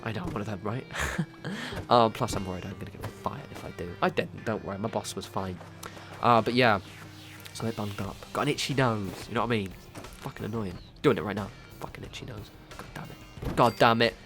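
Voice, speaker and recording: A man's voice: on the low side, brisk, over music. A man with a stuffy nose from a cold, giving rambling, casual gameplay commentary with plenty of self-corrections and offhand swearing. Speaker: gaming video creator.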